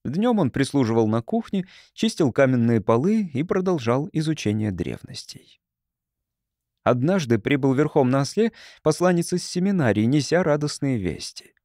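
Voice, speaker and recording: A man's voice: mid-range voice, very animated, normal speed, dark tone, no room sound. Male audiobook narrator, close dry sound. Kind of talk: scripted literary narration.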